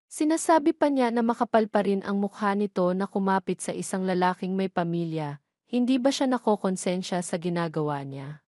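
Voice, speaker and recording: A woman's voice: mid-range voice, darkish tone, no room sound, studio quality. A woman with a Filipino accent reading scripted narration for a romantic drama story.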